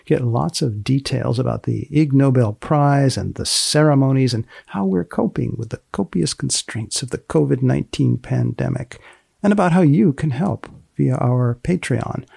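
A man hosting a podcast in a wry scripted style, speaking in a deadpan, mock-solemn way with deliberate phrasing. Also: medium pitch, vocal fry, husky, no echo, clean studio sound. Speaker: podcast host.

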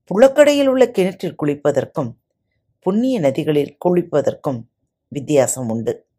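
A woman hosting a podcast, narrating a short moral story with expressive delivery. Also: sits low, moderate pace, breathy edge.

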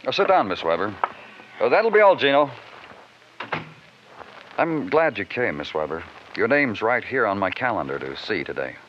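An actor playing a police detective in an old radio crime drama, terse and courteous, asking short, probing questions. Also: male voice, lowish voice, very animated, normal speed, pauses often. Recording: muffled, noisy old radio recording.

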